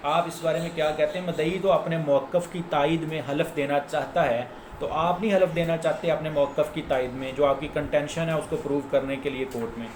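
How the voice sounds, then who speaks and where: Pakistani male lawyer, slightly high voice, informal explainer lecture; echoey, noisy room. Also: fairly even intonation, fairly quick, few pauses. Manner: explanatory, repeats phrases, mixes in English legal terms. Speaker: lawyer giving legal explainers on own channel. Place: indoors, office. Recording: echoey room with clear background noise.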